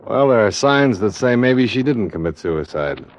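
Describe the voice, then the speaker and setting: Deep-voiced hardboiled American radio investigator. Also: male voice, sing-song, normal speed. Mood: calm, probing. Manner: clipped, matter-of-fact, understated. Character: cool, hardboiled male insurance investigator, narrating his cases. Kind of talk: 1950s radio detective drama acting and narration. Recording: dull old radio recording, music underneath.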